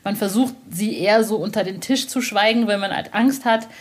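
A woman's voice: medium pitch, fairly quick. A woman hosting a podcast interview, talking in a casual, personal way.

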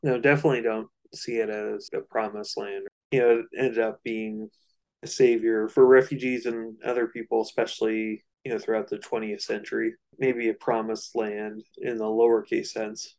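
A man co-hosting a casual music discussion, analytical and somewhat halting as he thinks aloud. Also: on the low side, little pitch movement, a little mellow, poor audio. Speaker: co-host of a music discussion show.

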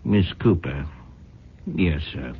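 An actor playing a deferential but disapproving factory manager, formal and reserved, with a husky voice, in a 1970s radio drama. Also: male voice, very deep voice, expressive, slow, a little hiss or hum, exaggerated voice. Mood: stiff disapproval. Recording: muffled old radio recording.

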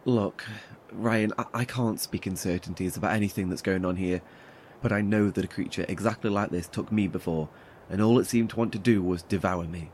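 A man acting a weary, haunted male survivor in a horror audio drama. His voice is low and halting, with wry, nervous edges, over a noisy background ambience. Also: darkish tone, natural voice. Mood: hesitant, reassuring. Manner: hesitant, halting, with nervous chuckles and sighs.

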